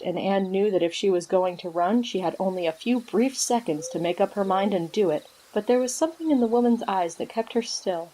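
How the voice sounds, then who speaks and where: A woman's voice: medium pitch, mellow, slight background noise, low-quality recording. A woman reading scripted story narration as the narrator of an audio drama.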